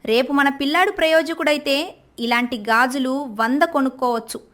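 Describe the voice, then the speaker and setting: An actress playing a poor farmer's devoted wife who speaks softly and earnestly. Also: female voice, high-pitched, steady tone, moderate pace. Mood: resolute.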